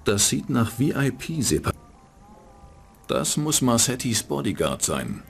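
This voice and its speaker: Gravelly, sardonic private eye. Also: male voice, very animated, slow pace, soft background music, full character voice. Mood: dry, wry. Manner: dry, sardonic, low-key delivery. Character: hard-boiled male private detective, wry and cynical. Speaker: narrating game protagonist. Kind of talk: dubbed game voice acting.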